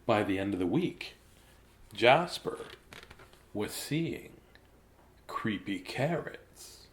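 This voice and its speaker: Theatrical man storyteller with swooping pitch. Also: big pitch swings, slow, noisy background. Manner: theatrical, with whispers and repeated words. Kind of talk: dramatic children's bedtime story reading.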